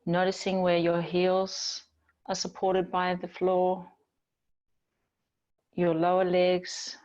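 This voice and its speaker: Slow-paced woman guiding gentle yoga. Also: fairly low pitch, monotone, lo-fi sound. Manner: gentle, soothing, unhurried guiding with long pauses. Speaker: yoga teacher. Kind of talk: guided yoga and relaxation instruction.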